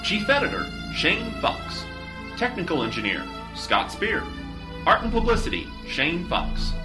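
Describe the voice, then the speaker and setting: A male announcer reads scripted credits in a fairly high voice. His intonation is extremely animated, and loud music plays behind him. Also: unhurried.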